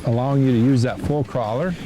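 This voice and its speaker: A man hosting a fishing show, chatting excitedly and laughing often while out on a fishing boat on a lake. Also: medium pitch, fast, a little hiss or hum. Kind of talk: casual chat while fishing.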